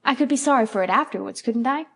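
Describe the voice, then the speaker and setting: Woman doing an excited little boy. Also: on the high side, fast, gravelly, voice pushed up. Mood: eager. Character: excitable young farm boy, eager and boastful.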